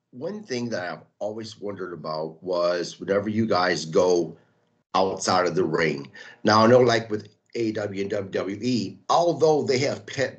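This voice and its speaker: Male podcast host, lowish voice, halting probing questions. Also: dull audio. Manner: probing, halting, restarts words. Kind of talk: interview questions.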